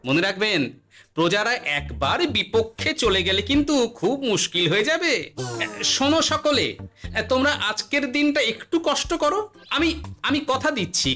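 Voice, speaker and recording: Cartoon court jester, clever and teasing, very animated delivery, music underneath. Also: mid-range voice, normal speed. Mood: reassuring, firm. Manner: persuasive, wry, expressive. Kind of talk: cartoon voice acting.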